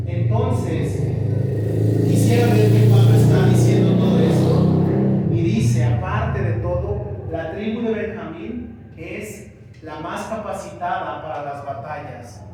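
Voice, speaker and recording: A high-voiced man preaches at an unhurried pace, giving each phrase room. He is picked up from a distance in a very reverberant church, with faint ambient noise behind him. He explains scripture and now and then speaks in the apostle's voice. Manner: expository preaching, voicing Paul's words in quotes. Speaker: pastor. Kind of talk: sermon. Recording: echoey church from a distant mic.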